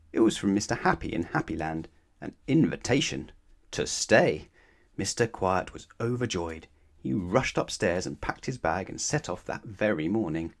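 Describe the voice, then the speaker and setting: A man reads a children's bedtime story aloud in a somewhat low voice. His narration is animated and expressive, addressed straight to young listeners. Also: normal speed. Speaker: storybook reader.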